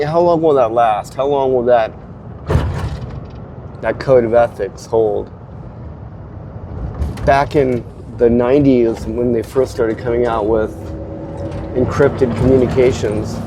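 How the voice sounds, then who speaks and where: A man's voice: mid-range voice, background noise. A man hosting a casual talk show, rambling with hesitant restarts, his voice stuffy from a head cold. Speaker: host. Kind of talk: casual spoken commentary. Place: car.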